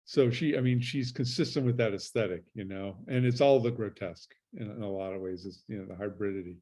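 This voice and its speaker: A man's voice: on the low side, a little fast, warm tone. A man hosting a virtual book launch over a video call, talking in a casual, friendly way.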